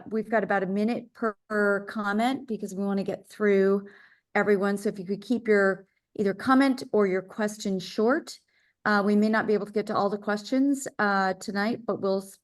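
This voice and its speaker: Woman chair running an online meeting, halting, mid-pitched. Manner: halting, with fillers and restarts. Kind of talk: moderating public comment.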